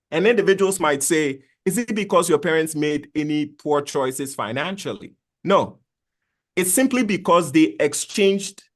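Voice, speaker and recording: Man from Ghana, high voice, patient plain-spoken money explainer on a video call. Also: fairly bright tone. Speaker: guest finance expert. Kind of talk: explaining investing in an interview.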